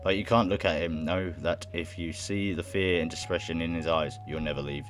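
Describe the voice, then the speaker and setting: A young man reading a visual novel aloud in a halting way, with casual reactions of his own. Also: low-pitched, fairly even intonation, soft background music. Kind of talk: let's play, reading game text aloud with casual reactions. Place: indoor room at home.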